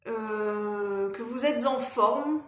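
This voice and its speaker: A woman's voice: a little high, very slow. A French woman with a cold, talking to camera in a casual vlog and often hesitating, with many fillers and restarts. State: has a cold. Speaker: vlogger and life coach. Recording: echoey room.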